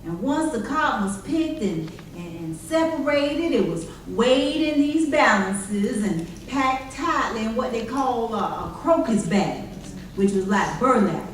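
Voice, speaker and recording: A woman performing as an elderly formerly enslaved woman in a readers theater piece, speaking earnestly and haltingly. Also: a little high, expressive. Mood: matter-of-fact recollection. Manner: halting, repetitive, deliberate. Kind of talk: readers theater monologue in old-time dialect. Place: church hall stage, recorded from afar. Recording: distant, very echoey hall, faint noise.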